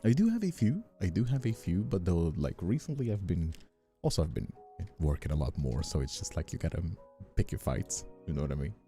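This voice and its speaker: A soft-spoken man chatting casually on a livestream as the host, talking in a hesitant, rambling, reassuring way close to the mic. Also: deep voice, big pitch swings, a little fast. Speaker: streamer and ASMR voice actor hosting a live stream. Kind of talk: casual livestream chat with ASMR calm. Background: soft instrumental music.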